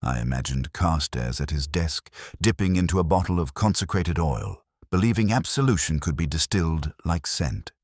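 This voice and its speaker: A man playing a brooding, world-weary police inspector who narrates his case in a measured, sombre, wry literary style. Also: rumbling low, lively intonation, darkish tone, no echo, studio quality, heavily acted. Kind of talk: literary first-person detective drama narration.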